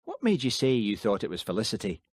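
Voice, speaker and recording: A man's voice: on the low side, huge pitch swings, fast talker, dry recording, clean studio sound. A man reading an audiobook, voicing a chatty, easygoing police sergeant. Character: Scottish male police sergeant, hungry, jovial.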